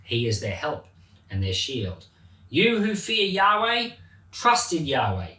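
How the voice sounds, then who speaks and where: A pastor reads scripture and talks it over in a lively, chatty way, his pitch rising and falling a lot. His voice is bright and forward. The room echoes and he sits back from the mic. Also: slow. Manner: animated, chatty, laughs at his own points. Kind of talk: Bible reading and informal teaching. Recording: roomy echo, mic at a distance.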